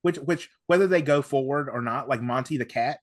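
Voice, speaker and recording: A man's voice: mid-range voice, a little fast, no room sound, studio quality. A man talking casually and analytically as a podcast panellist, working through his thoughts at length. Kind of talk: casual discussion of an audio drama.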